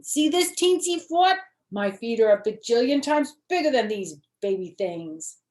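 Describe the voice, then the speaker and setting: A woman's voice: fairly high pitch, animated, a little slow, darkish tone, roomy sound, pitched above the performer's own voice. A woman voicing a bossy kindergarten girl from a children's storybook, emphatic and insistent that she is grown-up, with childish grammar. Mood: boastful, insistent.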